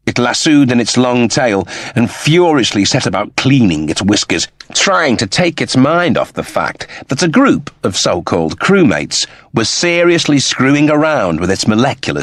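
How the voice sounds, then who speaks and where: A male audiobook narrator with a lowish, husky voice reads scripted fiction. His delivery is measured and dramatic. The sound is dry and close. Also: dry recording.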